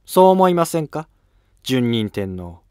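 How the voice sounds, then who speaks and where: A man's voice: mid-range voice, sing-song, a little slow, warm tone, no echo, clean studio sound. A man playing a commanding empress in a historical drama, speaking formally and with emphasis. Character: firm, commanding empress, an adult woman.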